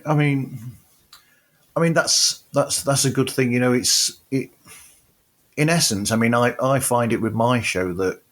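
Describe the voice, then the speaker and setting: A man hosting a podcast, chatting casually and agreeably, often repeating words as he gathers his thoughts. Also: medium pitch. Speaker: podcast host.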